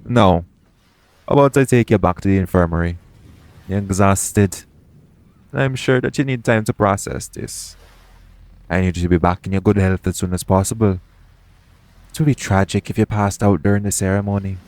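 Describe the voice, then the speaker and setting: A man playing a shady, smooth-talking pirate captain in an audio roleplay, coaxing and self-assured in a conversational way. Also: low-pitched, moderate pace, long pauses, darkish tone, no echo. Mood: reassuring. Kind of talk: second-person audio roleplay acting.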